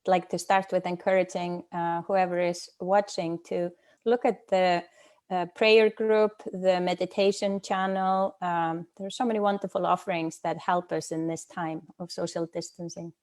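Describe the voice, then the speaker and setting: A woman's voice: lowish voice, flat delivery, moderate pace, a little mellow. Thoughtful middle-aged woman from Iceland. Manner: thoughtful, hesitant with many fillers. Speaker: guest speaker. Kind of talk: casual personal talk.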